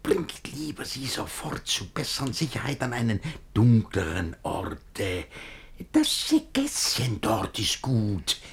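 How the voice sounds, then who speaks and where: Casting: agitated older bourgeois schemer, verse radio comedy; husky voice, big swings in pitch. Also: male voice, sing-song, slow, heavily acted. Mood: furtive, scheming. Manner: blustering, agitated, exclamatory. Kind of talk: theatrical German radio play in rhymed verse.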